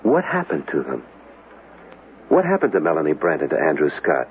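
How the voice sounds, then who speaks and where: A man's voice: rumbling low, wildly swooping pitch, a little fast, full character voice. An actor playing an intent, inquisitive man in a radio drama, earnest, insistent and dramatic in delivery. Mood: troubled, questioning. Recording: tinny, noisy vintage radio broadcast.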